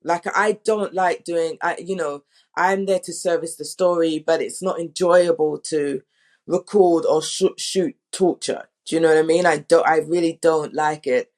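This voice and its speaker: A woman's voice: low-pitched, steady tone, moderate pace, darkish tone. A woman speaking as a drama director, telling candid anecdotes in an informal, emphatic way and acting out quotes.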